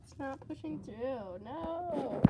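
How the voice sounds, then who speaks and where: Teen girl, stuffy cold voice, high-pitched, chatty excitable vlog, audible background noise. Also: slow pace. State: congested with a cold. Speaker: slime vlogger. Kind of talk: casual vlog chat.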